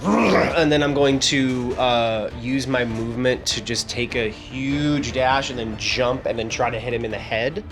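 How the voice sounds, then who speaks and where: A man's voice: medium pitch, measured pace. A man casually explaining his moves as a player in a tabletop game, with music under his voice. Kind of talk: casual tabletop play talk.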